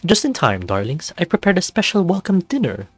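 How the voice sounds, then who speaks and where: A man's voice: high voice, huge pitch swings, a little fast, slightly rough, dry recording, soft background music, pitched above the performer's own voice, heavily acted. A man voicing a flamboyant, gracious snow leopard who hosts guests at a resort in a theatrical, courtly way, in a visual novel. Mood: showy welcoming. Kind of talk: visual novel character acting.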